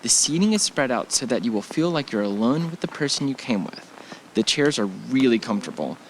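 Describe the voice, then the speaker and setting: A man's voice: mid-range voice, very animated, a little fast, poor audio. An easygoing husband on holiday in a conversational radio play, acted by a man. His mid-range voice is casual and affectionate, with a joking manner and faint noise in the background. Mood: presenting. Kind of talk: audio drama acting, conversational.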